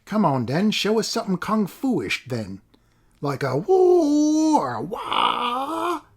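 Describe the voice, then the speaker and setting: A man's voice: sits high, wildly swooping pitch, slow, creaky, breathy edge, pitched above the performer's own voice, heavily acted. A man voicing a grubby, greedy male street driver who bullies a boy, in a coarse, jeering manner.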